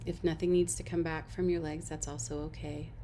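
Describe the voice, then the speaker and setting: Low-pitched woman guiding a meditation. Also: flat delivery, a little hiss or hum. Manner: gentle, unhurried instructions with soft invitations. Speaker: meditation class instructor. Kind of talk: guided meditation, body scan narration.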